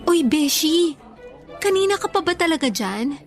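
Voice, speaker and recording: Sweet, high-voiced young girlfriend. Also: lively intonation, moderate pace. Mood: surprised, happy. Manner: tender, effusive, affectionate. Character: sweet, devoted young girlfriend, newly graduated student. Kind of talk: Tagalog radio romance drama acting. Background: faint music.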